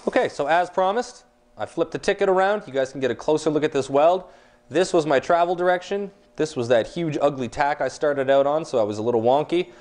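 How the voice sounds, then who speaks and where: A man in his thirties giving a casual demonstration of a welding machine in a workshop, talking in a relaxed, plain-spoken way with fillers. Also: fairly high pitch, brisk.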